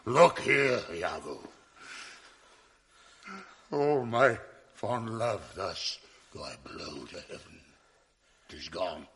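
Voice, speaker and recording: An actor playing a jealous, tormented general in a classic radio drama, swinging between roars and hushed whispers. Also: male voice, low voice, very animated, extremely slow, pauses often, heavily acted. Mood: vengeful. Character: jealous tormented general, man, volatile and commanding.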